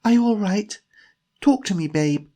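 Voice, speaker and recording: A man voicing an excitable, bossy young woman in an audiobook story, breathy and urgent. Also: fairly high pitch, measured pace, voice pushed up. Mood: worried.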